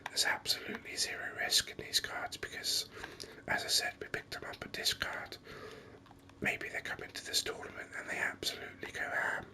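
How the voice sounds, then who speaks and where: A person giving whispered, breathy ASMR commentary on a video game, speaking softly in an unhurried, explanatory way into a close microphone. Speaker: gaming video host.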